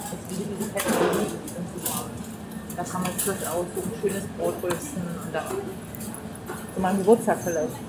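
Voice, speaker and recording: A woman's voice: on the low side, fairly even intonation, brisk. A woman shopper in casual chat, recorded from a distance inside a supermarket. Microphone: far ambient microphone. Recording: distant, echoey supermarket with loud noise. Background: loud shop noise.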